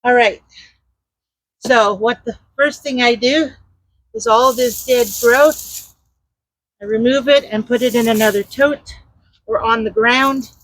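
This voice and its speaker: A chatty woman with a loud, slightly high voice talks her way through a gardening job, slow and unhurried. She is outdoors, and her mic hangs on a trellis near her. The sound is dry and close, with no room echo. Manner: chatty, rambling, searches for words; talks while digging in the garden. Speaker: gardening vlogger. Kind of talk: casual how-to narration while working. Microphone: mic clipped to a garden trellis.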